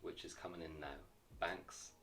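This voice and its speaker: A man with a very low voice but a sharply bright edge, speaking confidently as a guest. He sits well back from the mic, so he comes through thin and distant. Faint music plays underneath. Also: steady tone, normal speed. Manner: confident, emphatic, some restarts. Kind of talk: casual interview chat.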